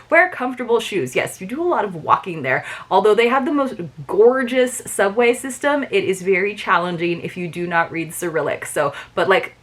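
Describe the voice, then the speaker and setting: A woman talks through a subscription box in an easy, chatty way, full of fillers and asides to her viewers. She sounds eager and upbeat, and she slips into a steadier reading voice for printed passages. Her voice sits at an ordinary middle pitch. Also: fairly bright tone. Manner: chatty, many "like" and "you guys" fillers; enthusiastic. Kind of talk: casual unboxing vlog, reading booklet aloud.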